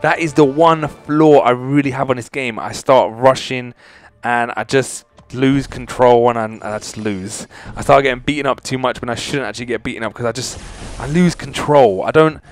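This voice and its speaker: Young guy yelling and rambling while he plays, mid voice, faint music underneath. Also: medium pitch, moderate pace. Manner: excitable, rambling, loud exclamations. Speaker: gaming channel host. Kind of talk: excited mobile game commentary.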